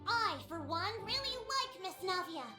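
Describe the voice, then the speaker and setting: An actress playing a small, stubborn, adoring child in a video game, with an emphatic childlike manner. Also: female voice, very high-pitched, animated, normal speed. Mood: indignant, adoring. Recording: echoey, loud music over it.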